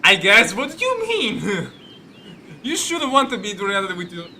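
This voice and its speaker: A man hosting a video game playthrough, talking casually in a halting, rambling, jokey way. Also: sits high, wildly swooping pitch, pushed and bright, slight background noise. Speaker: gaming video host. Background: game music.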